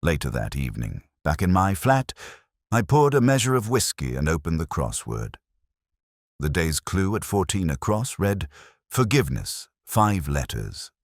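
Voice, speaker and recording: A man playing a melancholy, world-weary English police inspector, narrating his own story in a measured, literary, reflective way. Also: sits low, sing-song, no echo, studio quality, heavily acted. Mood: melancholy, solitary. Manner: measured, brooding, literary delivery. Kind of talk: scripted first-person narration, literary detective drama.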